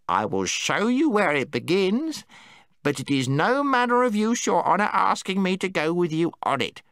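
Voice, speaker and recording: A man voicing a timid, excitable male gnome who squeaks when frightened. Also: normal speed, clear, resonant tone, no room sound, studio quality, full character voice. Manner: fretful, squeaks when scared. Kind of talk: audiobook character voice.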